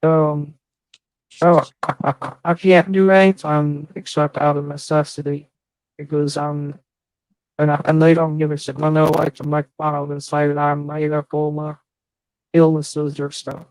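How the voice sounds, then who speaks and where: A man chatting casually on a live stream, halting and rambling, swearing often. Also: on the high side, flat delivery, a little mellow, no room sound, low-quality recording.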